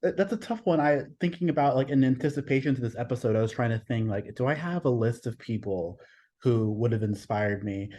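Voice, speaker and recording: A man from Texas, a guest artist on a podcast, talking casually and thoughtfully, often pausing to find his words. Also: medium pitch, warm tone, slight background noise. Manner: thoughtful, searching for words, frequent restarts.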